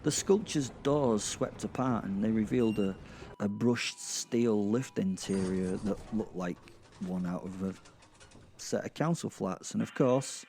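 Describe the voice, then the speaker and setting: A British man narrating a darkly comic audio drama in the first person, with wry, conversational, halting asides. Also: on the low side, dark tone, a little hiss or hum.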